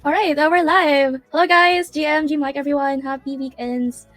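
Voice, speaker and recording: A woman's voice: sits high, fairly even intonation, normal speed, a bit bright, no room sound, faint music behind. A woman hosting a livestream interview, bubbly and laughing often as she asks questions. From Philippines. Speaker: livestream host.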